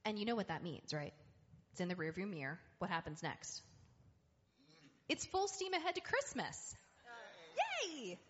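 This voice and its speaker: A woman's voice: lowish voice, very animated, moderate pace, a bit bright. A woman hosting a program, giving a playful, teasing introduction to a song. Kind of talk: upbeat introduction. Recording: dull sound with long hall reverb.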